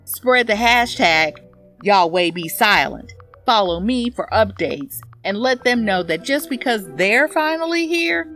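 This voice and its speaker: A woman's voice: medium pitch, animated, a little slow, a bit bright, soft background music. A woman performing a petty, self-righteous woman's sardonic social media rant as a scripted comedy monologue. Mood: indignant, petty. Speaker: narrator, audio fiction reader.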